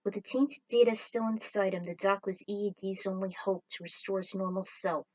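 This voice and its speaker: A woman's voice: mid-range voice, steady tone, fairly quick, darkish tone, dry recording, far from the mic. A woman reading a scripted story as its narrator, stumbling over the odd word. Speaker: narrator reading own fan fiction.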